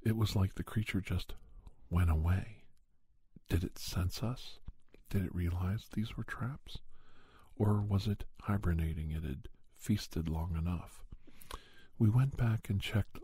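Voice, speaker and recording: A man playing a paranormal investigator who recounts his cases in soft, breathy, halting first-person ASMR narration. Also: low-pitched, no room sound, heavily acted. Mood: calm, hushed, matter-of-fact. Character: male paranormal investigator doctor recounting monster cases, unhurried. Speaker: storyteller.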